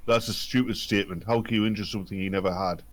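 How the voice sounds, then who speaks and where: A man's voice: lowish voice, fairly even intonation, fast, over a noisy crowd. A man trading sardonic remarks in casual panel banter.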